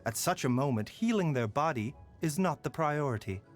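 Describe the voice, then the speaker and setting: An actor playing a calm, courteous male doctor in a game, with a soft, measured way of talking. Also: on the high side, soft background music, natural voice. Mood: thoughtful. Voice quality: soft, measured, sighs and chuckles. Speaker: game character voice lines. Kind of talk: game voice lines.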